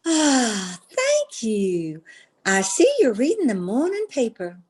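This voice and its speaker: A woman's voice: a little high, wildly swooping pitch, slow, noisy background, full character voice. An actress as a flirtatious society lady in a vintage radio comedy. Her voice swoops expressively as she coaxes, gushes and pushes coyly to get her way. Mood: coy, fishing. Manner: coaxing, insistent, gushing. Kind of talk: old-time radio comedy acting.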